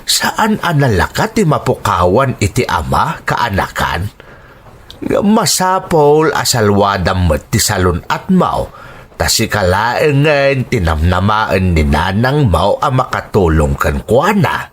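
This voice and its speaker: A man plays a middle-aged uncle in an audio drama, giving calm counsel. The voice is lowish and slow, with a measured, reassuring delivery. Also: husky, full character voice. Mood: calm, advising.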